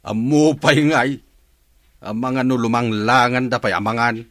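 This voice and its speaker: A man's voice: medium pitch, a little slow, a bit bright, no room sound, studio quality, close to an everyday voice. A man playing a stern, disapproving father in a radio drama, scolding emphatically with an Ilocano Filipino accent.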